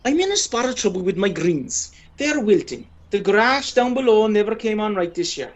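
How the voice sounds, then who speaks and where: Husky, boastful ageing Irish sportsman, played by a woman. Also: mid-range voice, sing-song, normal speed, lo-fi sound. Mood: wheedling, chatty. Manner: pompous, chatty, persistent. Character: boastful, wheedling ageing male Irish pitch and putt champion. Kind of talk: radio play monologue and dialogue.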